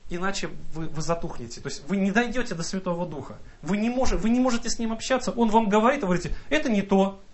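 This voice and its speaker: A man's voice: sits high, quick pace, hardly any pauses. A man preaching a sermon, earnest and exhorting, reading scripture aloud and mimicking the excuses of doubters.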